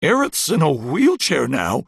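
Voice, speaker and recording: Game bit part: town hawker with very animated delivery, close and dry. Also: mid-range voice, unhurried, gravelly, slightly rough, no echo, heavily acted. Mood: concerned. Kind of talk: video game character acting.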